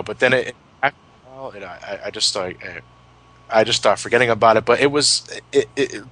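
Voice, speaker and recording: A man in his twenties chatting casually as a podcast commentator, reminiscing in a halting, sweary way and often repeating his words. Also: mid-range voice, fairly bright tone. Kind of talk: casual retrospective chat, reminiscing.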